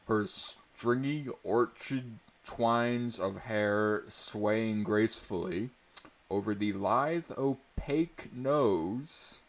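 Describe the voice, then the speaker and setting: Slow, low-voiced man reading theatrically. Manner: mock-serious, theatrical, deliberate, breaking into asides. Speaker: narrator. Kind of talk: amused dramatic reading of pulp fantasy.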